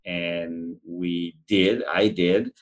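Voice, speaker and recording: This is a man with an Argentine accent and a low-pitched voice. He speaks as an interview guest, earnestly and thoughtfully, often pausing to find his next words. He sounds middle-aged and conversational. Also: steady tone. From central Argentina. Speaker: animal shelter representative being interviewed.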